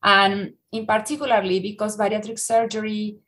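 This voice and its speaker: A woman's voice: medium pitch, level tone, bright, forceful tone. A middle-aged woman from Argentina with an Argentine Spanish accent, a researcher talking in a podcast interview, often restarting and filling with "uh". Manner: halting, with many restarts and fillers. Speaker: researcher guest.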